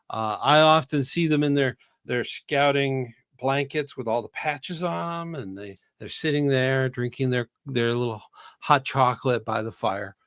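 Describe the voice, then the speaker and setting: A man's voice: mid-range voice, fairly bright tone, no echo, clean studio sound. A middle-aged man hosting a casual live video-call chat, recovering from a cold, rambling and laughing often. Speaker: host.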